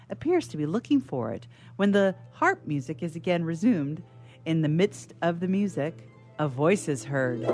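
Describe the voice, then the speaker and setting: A woman with a slightly low voice narrates scripted stage directions. Her reading is formal and measured. Music sits behind the narration.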